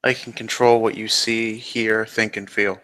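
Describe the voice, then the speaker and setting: A man playing a calm, proud changeling enchanter in a roleplaying game, terse and matter-of-fact. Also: on the low side, measured pace, rough recording. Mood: calm, firm.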